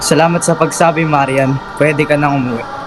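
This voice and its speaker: A man's voice: a little high, steady tone, brisk, noisy background, natural voice. A man playing a schoolgirl's father in an amateur radio drama. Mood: concerned. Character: father of a schoolgirl, giving orders and concerned.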